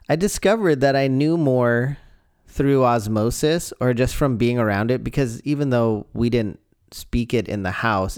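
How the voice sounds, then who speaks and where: A man's voice: mid-range voice, clear, resonant tone, dry recording, clean studio sound. A man chatting casually as a podcast host, reflective and giving advice. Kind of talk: casual conversation. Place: home.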